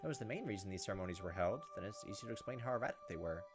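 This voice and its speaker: A man's voice: lowish voice, animated, very fast. A man hosting a gaming video, reading visual novel text aloud and adding his own commentary. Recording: game music and insect sounds behind.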